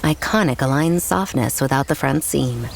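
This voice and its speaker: A woman reading a scripted advertisement in a smooth, measured way. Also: rumbling low. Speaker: ad voice-over. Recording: faint background noise.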